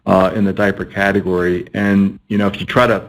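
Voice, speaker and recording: Halting low-voiced executive man. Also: little pitch movement, poor audio. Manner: halting, repeats words while thinking. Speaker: company executive answering analysts. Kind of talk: earnings call Q&A answers.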